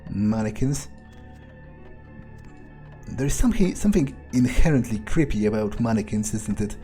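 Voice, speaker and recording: A man playing a foul-mouthed, sardonic vampire, musing aloud with wry, hesitant pauses as he plays a video game. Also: lowish voice, animated, warm tone, faint music behind, natural voice. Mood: uneasy musing. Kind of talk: in-character playthrough narration, musing aloud.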